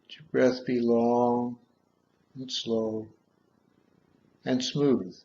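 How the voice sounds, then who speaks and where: A man guiding a meditation in measured short phrases with long pauses, on a dull, muffled recording. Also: medium pitch, level tone, mellow. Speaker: guided meditation leader. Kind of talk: guided meditation, slow soothing instruction.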